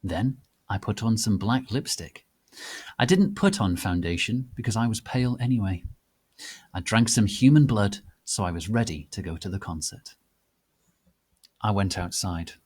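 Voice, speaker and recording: Husky low-voiced man reading a story. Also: animated, moderate pace, a little mellow. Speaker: reader. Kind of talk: dramatic reading of fan fiction.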